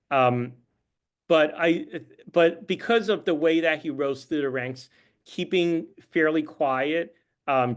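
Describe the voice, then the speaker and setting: A man who is an author and journalist, answering audience questions at a book talk in a measured, thoughtful way, with frequent ums. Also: mid-range voice. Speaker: author and journalist, guest speaker.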